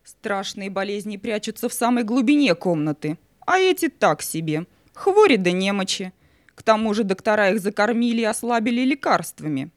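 A woman playing the spirit of Night in an audio play, stately and condescending, with a theatrical, drawn-out delivery. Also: mid-range voice, lively intonation, dry recording, studio quality, natural voice. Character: Night, stately female spirit guarding her secrets, motherly yet cunning.

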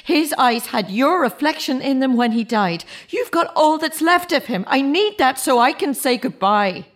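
A middle-aged mother in mourning in an audio drama. She probes earnestly and insistently, her pitch moving widely through a naturalistic delivery. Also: animated, moderate pace. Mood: anguished, searching. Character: grieving, searching mother of a dead teenage son.

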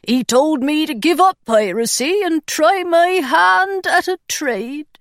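A woman's voice: high voice, expressive, no echo, studio quality, voice pushed up, full character voice. Partridge, a woman doing a thin, anxious pirate. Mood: fretful. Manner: slow, fretful and apologetic.

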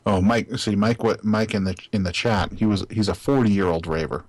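A man's voice: fairly low pitch, moderate pace, a little mellow. A man in his forties chatting casually and tossing in wisecracks. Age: 40s. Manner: wisecracking, laughing. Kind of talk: casual chat.

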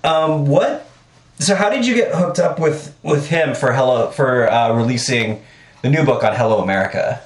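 A man hosting a podcast, asking questions in a halting way with many restarts. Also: mid-range voice. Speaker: podcast host. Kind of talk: casual interview. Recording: echoey room.

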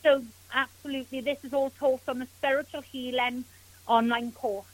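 A woman's voice: high voice, fairly even intonation, normal speed, low-quality recording. A woman with a Welsh accent, a spiritual healer speaking as a guest on a radio interview, earnest and gushing with thanks.

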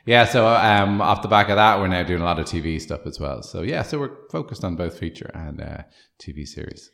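A man who is a film producer, answering interview questions in a relaxed, rambling way with many fillers. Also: low voice, fairly quick, vocal fry.

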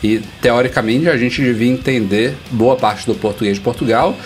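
A man's voice: mid-range voice, moderate pace, soft background music. A man hosting a tech podcast in a casual, upbeat, chatty way. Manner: upbeat, chatty, acts out quoted talk. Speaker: podcast host.

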